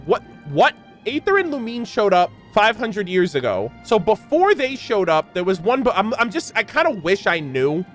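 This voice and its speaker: A man giving casual, slangy live reaction commentary as a game streamer, animated and incredulous as he reads lore aloud. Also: high voice.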